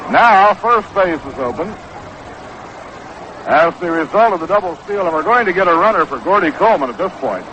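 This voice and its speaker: A man calling baseball play-by-play on 1960s radio, measured and descriptive, on an old broadcast recording. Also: high voice, lively intonation, sharp tone. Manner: measured, descriptive calls that quicken on action. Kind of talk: 1960s live radio sports commentary. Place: outdoor ballpark broadcast position. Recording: noisy, dull vintage 1960s radio broadcast.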